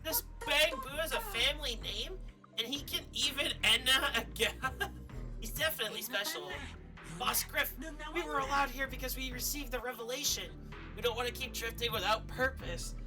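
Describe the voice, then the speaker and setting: A woman streaming a game, giving expressive, casual commentary and reading dialogue aloud, sometimes swearing. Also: sits high, normal speed. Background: faint video game music.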